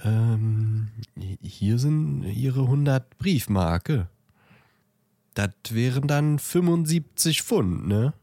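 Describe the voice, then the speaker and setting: A man's voice: mid-range voice, expressive, very slow, warm tone, dry recording, studio quality. A man playing an obliging, flustered post office clerk who stammers hesitantly in bemusement in an amateur audio drama.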